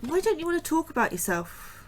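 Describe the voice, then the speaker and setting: A woman's voice: sits high, sing-song, fairly quick, noisy background. A woman playing an anxious, questioning astrobiologist in a sci-fi horror audio drama. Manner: earnest and questioning, a little anxious.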